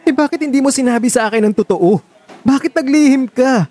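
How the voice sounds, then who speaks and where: Man acting a heartbroken pleading lover, pitched high. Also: fairly quick, darkish tone. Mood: upset, confronting. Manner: emotional, insistent, pleading. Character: heartbroken, pleading young male lover demanding the truth. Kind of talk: Tagalog radio drama acting.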